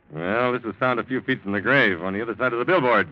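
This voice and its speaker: A dull, lo-fi vintage radio recording of a man acting a police lieutenant. He is wily and sparing with words, pausing hesitantly as he probes. The voice has an average male pitch and 1940s radio drama delivery. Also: dry recording, natural voice. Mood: sly, pointed.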